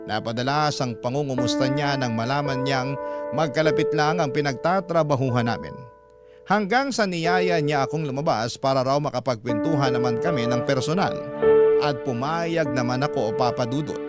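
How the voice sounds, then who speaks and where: A man's voice: medium pitch, moderate pace, natural voice. A man playing an earnest letter sender who recounts a love story as confessional radio drama narration. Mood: earnest, reflective. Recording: loud music under the voice.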